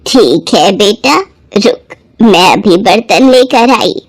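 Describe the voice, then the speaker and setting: A woman voicing a kind old village woman in a cartoon devotional story, motherly, coaxing and unhurried. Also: mid-range voice, animated. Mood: tender.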